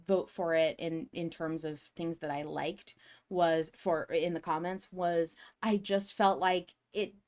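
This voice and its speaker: A woman with a lowish voice co-hosts a podcast. She talks casually, as in a fan discussion, working through her thoughts aloud and stressing her points. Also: steady tone, a little slow, a little mellow. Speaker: podcast co-host.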